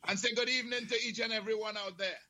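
A man from the Caribbean chatting casually as a guest on a video call, lively and laughing, sometimes breaking into a sung line. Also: high voice, very fast, dry recording. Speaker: guest entertainer.

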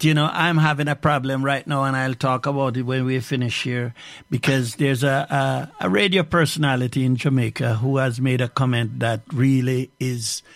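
Male radio host, casual chat, stop-start delivery, gets animated, dry sound. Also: mid-range voice, no echo. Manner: halting, many restarts, animated.

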